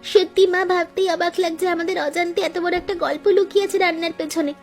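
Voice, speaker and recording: A woman's voice: very high-pitched, steady tone, fast talker, soft background music. A woman playing an eager, sentimental younger sister and daughter-in-law in a cartoon story, speaking earnestly. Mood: amazed.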